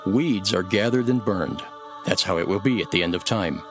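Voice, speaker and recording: A man playing a calm, authoritative teacher telling parables in a measured, solemn dramatized scripture reading. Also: low voice, over music, close to an everyday voice. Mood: solemn warning.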